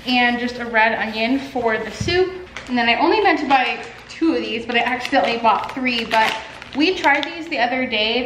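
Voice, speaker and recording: A woman's voice: high-pitched, fairly bright tone, a little hiss or hum. A young woman in her twenties, chatting casually to camera at home with lots of filler words and busy hand gestures. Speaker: artist vlogger. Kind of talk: casual vlog chat. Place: home living room and kitchen, indoors. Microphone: camera on a stand. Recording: echoey room.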